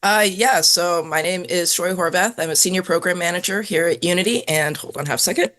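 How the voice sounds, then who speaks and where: A woman introducing herself on a casual video-call panel, chatty and friendly, her voice a little rough from being sick. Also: lowish voice, a little fast, a bit bright. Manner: chatty, friendly, light laughter. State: recovering from illness, throat a bit rough. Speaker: program manager, panelist.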